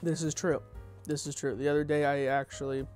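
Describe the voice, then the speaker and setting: A man's voice: fairly high pitch, level tone, fairly quick, darkish tone, soft background music. A young adult man doing an informal table read of his own comedy script, dropping in wry, off-the-cuff asides. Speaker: content creator reading own script.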